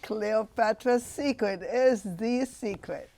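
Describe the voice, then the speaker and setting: A woman's voice: fairly high pitch, slow pace, creaky, warm tone. A woman in her sixties from Egypt, a physician answering interview questions with passionate, emphatic phrasing. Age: 60s. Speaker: physician guest.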